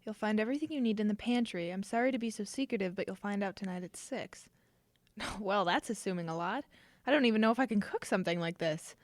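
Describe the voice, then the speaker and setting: A woman acting in an audio drama as a poor, cautious, tender mother who speaks in a hesitant, gently worried way. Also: mid-range voice, quick pace, no room sound, lightly acted.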